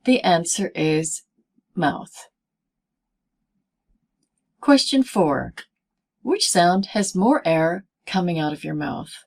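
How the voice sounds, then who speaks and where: A woman narrates a scripted instructional lesson in a voice a little lower than average. Her pace is very unhurried, and she sets out each phrase deliberately and separately, as a pronunciation teacher does for learners. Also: expressive, darkish tone. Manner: deliberate, clearly segmented teaching delivery.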